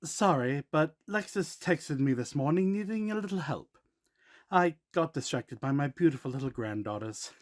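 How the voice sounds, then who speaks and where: A man's voice: on the high side, expressive, measured pace. A man playing a playful but protective supernatural grandfather who co-hosts scripted school announcements. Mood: playful, apologetic.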